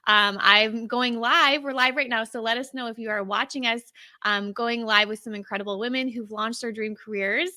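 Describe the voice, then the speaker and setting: Female live-stream host, bright and forceful, slightly high, enthusiastic and gushing with her guests. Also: moderate pace. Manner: excitable, gushing. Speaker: host interviewing class members.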